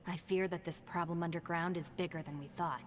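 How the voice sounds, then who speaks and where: An actress playing a calm, cautious, considerate woman in a video game, speaking carefully and earnestly. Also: lowish voice, fairly quick, natural voice. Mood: thoughtful. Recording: long reverb, background ambient noise.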